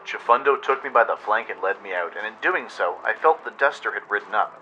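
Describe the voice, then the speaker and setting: A man's voice: lowish voice, expressive, brisk, sharp tone, muffled recording. A man reading a dramatic scripted adventure story as narrator. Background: music.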